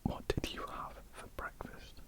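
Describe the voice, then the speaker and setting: Slow whispering woman. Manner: soft unvoiced whisper with frequent ums. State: croaky voice. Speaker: ASMR artist. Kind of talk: whispered ASMR tag video. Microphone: ear-to-ear stereo mic.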